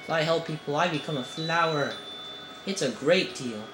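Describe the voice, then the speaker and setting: A woman's voice: deep voice, normal speed. A woman giving casual, sarcastic let's play commentary, heckling the game. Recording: roomy home mic, clear background noise.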